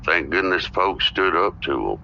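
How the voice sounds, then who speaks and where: A man's voice: low voice, big pitch swings, normal speed, gravelly, sharp tone. A man talking as a podcast guest in casual political chat. He agrees readily and fills his talk with "you know". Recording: muffled lo-fi with faint noise.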